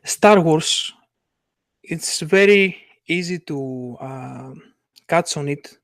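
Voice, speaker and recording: Hesitant non-native man, fairly high. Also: extremely slow, vocal fry, a bit bright, no room sound, clean studio sound. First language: not English. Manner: hesitant, searching for words. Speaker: interviewer. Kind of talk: casual interview.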